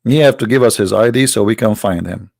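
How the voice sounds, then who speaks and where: A man hosting a polemical livestream with a slight cold, talking in a mocking, rhetorical way that swings between loud emphasis and low asides. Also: a little fast, vocal fry, no echo, clean studio sound. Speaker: host of a religious debate channel.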